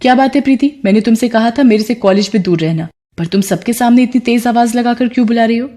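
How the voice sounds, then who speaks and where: Casting: haughty city college girl for a moral story, played by a woman, bossy, scornful, soft-edged mellow voice. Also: on the high side, quick pace, pitched above the performer's own voice, natural voice. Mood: annoyed. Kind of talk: dramatised Hindi moral story.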